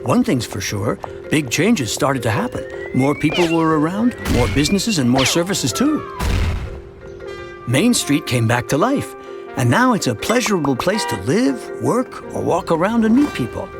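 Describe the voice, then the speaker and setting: Expressive, higher-voiced suburban family man. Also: moderate pace, music in the background. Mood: upbeat, proud. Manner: conversational, expressive storytelling. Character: chatty, earnest suburban family man telling his town's story. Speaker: narrator. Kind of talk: scripted first-person narration for an animated educational short.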